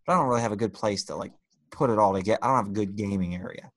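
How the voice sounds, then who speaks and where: Man chatting casually, low-pitched and dry. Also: very fast, a bit bright, no room sound. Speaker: podcast co-host. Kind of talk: casual chat among friends.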